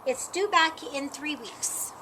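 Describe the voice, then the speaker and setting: A woman's voice: sits high, takes it slowly, bright, forceful tone, heavily acted. A woman voicing a polite, matter-of-fact schoolboy helping at a library desk in a storybook reading. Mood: businesslike. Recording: clearly noisy background.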